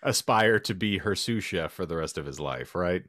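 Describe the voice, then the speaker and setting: A man's voice: deep voice, expressive, normal speed, fairly bright tone, dry recording, studio quality. A man co-hosting a podcast, bantering in a wordy, mock-formal, sardonic way. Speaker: podcast co-host. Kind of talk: casual comedic banter.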